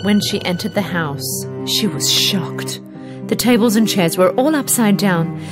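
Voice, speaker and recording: A woman narrating a children's fairy tale in an expressive, dramatic storytelling manner. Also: mid-range voice, a little slow, over loud music.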